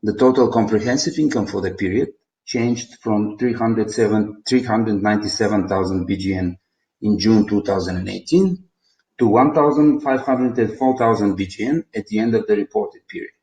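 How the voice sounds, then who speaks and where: A man's voice: fairly low pitch, fairly even intonation, normal speed, a little mellow. A man with a Bulgarian accent formally reads out a scripted financial presentation in a roomy, echoing recording. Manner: formal, reading out figures. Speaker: company presenter.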